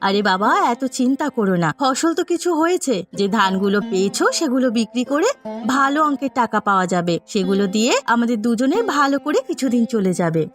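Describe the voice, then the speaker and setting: A woman as a tender, high-voiced village daughter. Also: lively intonation, quick pace, soft background music, natural voice. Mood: soothing. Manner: soothing, tender. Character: gentle, devoted young village daughter, poor. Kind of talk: dubbed cartoon story acting.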